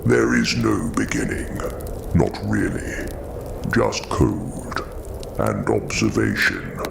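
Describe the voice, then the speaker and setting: A haunted giant creature for an audio drama, super low and slow, gentle but weary, noisy background. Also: male voice, wildly swooping pitch, creaky, heavily acted. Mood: haunted, remembering. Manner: slow, weighted, halting delivery.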